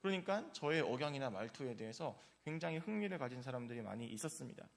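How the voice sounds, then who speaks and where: A man's voice: medium pitch, sing-song, quick pace, gravelly. A male pastor giving an early-morning sermon in a church, telling personal stories in a conversational way with frequent filler sounds. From South Korea, grew up in Gyeongsang region.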